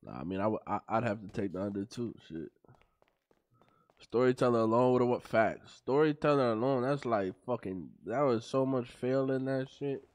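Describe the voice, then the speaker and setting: A man's voice: medium pitch, normal speed, darkish tone, no echo. Playful, slangy man streaming. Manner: laughing, slangy, playful boasting. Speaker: streamer playing a roleplay character. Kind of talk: casual roleplay banter.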